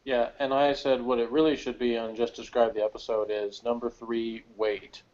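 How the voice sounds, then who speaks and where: A man's voice: mid-range voice, level tone, normal speed, darkish tone. Sceptical man in slightly muffled lo-fi audio. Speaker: co-reviewer in a fan discussion. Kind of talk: casual opinionated chat.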